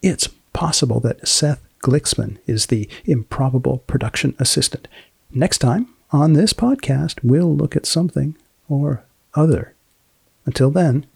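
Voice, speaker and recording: A man hosting a podcast in a deadpan comic style, with deliberate, wry, mock-formal phrasing. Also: mid-range voice, creaky, slightly rough, no room sound. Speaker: podcast host. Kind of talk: deadpan comic interviewing and sign-off.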